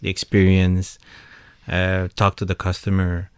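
A man's voice: low voice, completely flat delivery, unhurried, clean tone, no room sound, studio quality. A man, co-owner of a restaurant, answering questions on radio in a hesitant way with many fillers. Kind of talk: radio interview guest.

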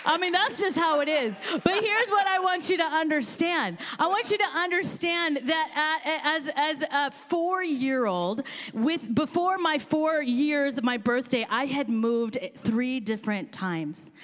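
High-voiced woman pastor preaching fervently. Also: measured pace. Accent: American Midwest. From born in India, raised in Kansas. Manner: impassioned preaching, rising to near shouts. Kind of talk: sermon. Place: church auditorium with live congregation.

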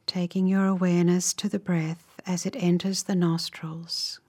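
A woman leading a guided meditation in a calm, measured, instructive way, with soft, breathy phrase endings. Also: on the low side.

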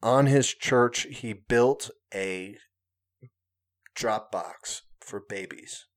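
A man with a lowish voice chats on a podcast at an unhurried, slow pace. He hesitates often, filling gaps and restarting sentences. There is a wry, self-mocking humor under it. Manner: hesitant, many fillers and restarts. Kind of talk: casual conversation.

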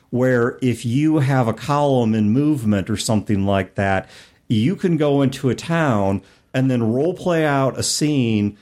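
A man's voice: mid-range voice, darkish tone. A middle-aged man hosting a podcast, talking casually and explaining things conversationally. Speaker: podcast host.

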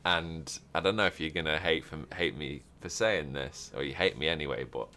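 A man's voice: a bass voice, moderate pace, bright, forceful tone, a little hiss or hum. A man hosting a roleplay podcast, chatting casually and rambling with many fillers and restarts. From Europe. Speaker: podcast host.

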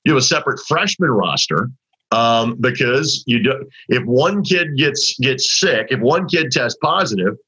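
A man talks high school basketball as a show host, rambling conversationally through restarts and fillers. His voice is forceful yet husky and a little raspy from overuse. The audio is dull and lo-fi. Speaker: sports broadcaster hosting a high school basketball talk segment. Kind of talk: casual sports talk.

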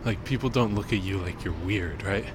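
A man's voice: lowish voice, moderate pace, noisy background. A man making a casual audio diary as a field recordist, hesitant and self-deprecating in how he talks. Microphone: handheld microphone.

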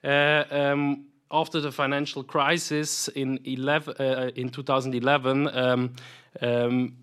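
A man in his thirties with a Swiss accent, answering interview questions about his career in a halting way, full of fillers and restarts. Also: medium pitch, level tone, a bit bright, clean tone. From Switzerland. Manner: hesitant, many fillers and repeated words. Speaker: private equity investment professional, guest.

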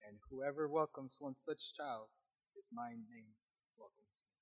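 A man with a small, quiet voice reading scripture aloud in a Bible study, steady with occasional restarts. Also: on the high side, a little slow, vocal fry, slightly rough. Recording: dull lo-fi recording.

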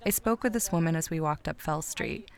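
A woman's voice: deep voice, brisk, creaky. A woman hosting a podcast, reading scripted narration. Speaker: podcast host. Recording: music under the voice. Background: music.

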